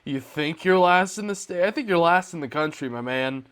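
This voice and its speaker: A man's voice: on the high side, normal speed. Nasal young man with a cold. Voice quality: slightly raspy, nasal. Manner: chatty, excitable. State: has a cold. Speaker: movie reaction host. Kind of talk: casual commentary.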